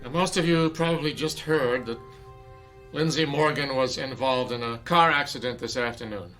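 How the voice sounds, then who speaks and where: A man's voice: mid-range voice, normal speed, fairly bright tone, lightly acted. An actor playing a demanding male theater director who gives commanding, clipped orders in a radio drama. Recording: radio drama with faint music.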